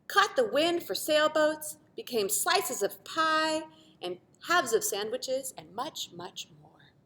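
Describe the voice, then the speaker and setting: Lady teacher reading kids story out loud, very animated, high bright voice, dramatic emphasis. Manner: animated, dramatic emphasis with asides to young listeners. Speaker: teacher reading a picture book aloud. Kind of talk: expressive children's storybook read-aloud.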